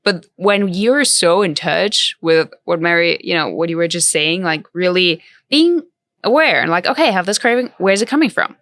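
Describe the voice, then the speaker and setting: A woman's voice: on the low side, lively intonation, moderate pace, fairly bright tone, no room sound, studio quality. A woman hosting a podcast interview, enthusiastic and affirming toward her guest. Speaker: podcast host. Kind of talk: casual interview chat.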